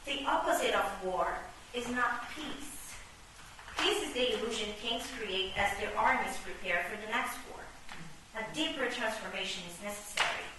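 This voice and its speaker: An actress playing an excitable, dreamy visionary chess piece in a theatrical radio play. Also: female voice, mid-range voice, normal speed, natural voice. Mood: visionary, solemn. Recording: echoey, distant, noisy stage recording.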